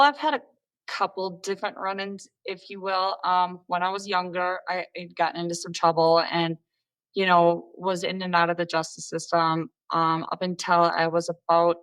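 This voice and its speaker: A woman's voice: lowish voice, steady tone, moderate pace, a bit bright, poor audio. A woman in her thirties from northern Minnesota, a podcast guest who speaks candidly with frequent hesitations. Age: 30s. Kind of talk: conversational interview.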